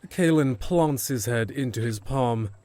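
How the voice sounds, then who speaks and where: A man's voice: mid-range voice, measured pace, mellow. A man narrating a scripted fantasy audio drama, with music underneath.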